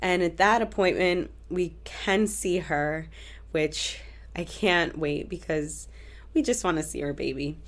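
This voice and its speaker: A young woman talks casually to her viewers in a relaxed, chatty vlog, slipping in fillers and restarting her sentences as she goes. Her voice sits a little low for a woman, and a cold leaves it audibly stuffy and congested. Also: a little slow. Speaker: vlogger. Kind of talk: casual pregnancy update vlog.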